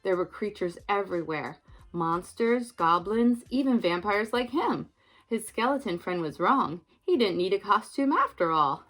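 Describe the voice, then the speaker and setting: A young woman and mother reading a children's book aloud and then chatting gently with her child about it. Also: medium pitch, measured pace. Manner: gentle, expressive, talks to the child.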